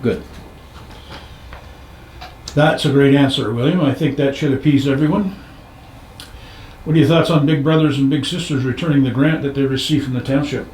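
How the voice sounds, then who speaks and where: A man's voice: medium pitch, normal speed, pauses often, a little mellow, slight background noise. Man hosting in an echoey room. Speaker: interviewer, show host. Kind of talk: informal candidate interview.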